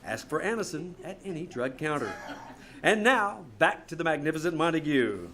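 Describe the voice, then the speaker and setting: A man acting an earnest 1950s radio announcer and pitchman, delivering scripted copy. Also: big pitch swings, normal speed. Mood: earnest selling.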